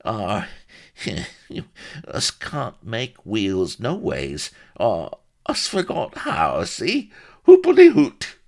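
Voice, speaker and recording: Wheedling old West Country rustic. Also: male voice, on the low side, wildly swooping pitch, drawn-out words, creaky, darkish tone, voice pushed up, full character voice. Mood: shifty, defensive. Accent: English West Country. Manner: rambling, wheedling, dialect-heavy. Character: shifty, whimpering old rustic castaway man.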